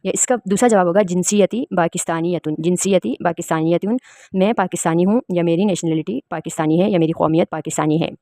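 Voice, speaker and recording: A woman's voice: on the low side, fast, warm tone, no echo, studio quality. A woman from Pakistan, teaching an Arabic lesson and patiently explaining each word. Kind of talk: spoken language lesson.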